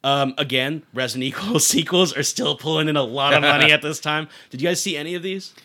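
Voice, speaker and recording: Man, podcast host, quick pace, bright punchy tone, casual slangy movie chat. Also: a little high.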